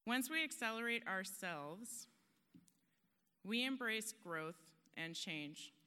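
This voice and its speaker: A woman's voice: a little high, expressive, slow, sharp tone, smooth. A woman giving an earnest, emphatic motivational talk on a conference stage, now and then laughing at herself. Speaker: conference speaker. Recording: long hall reverb.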